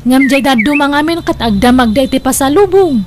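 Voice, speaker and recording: A woman acts out a nagging, teasing older sister from an Ilocano comedy radio drama. Her voice is very high and exclaims with animated insistence in an Ilocano accent. Clear noise sits on the recording. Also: brisk. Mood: pushy, teasing. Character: pushy, teasing older sister urging younger sister toward old suitor. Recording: noisy recording.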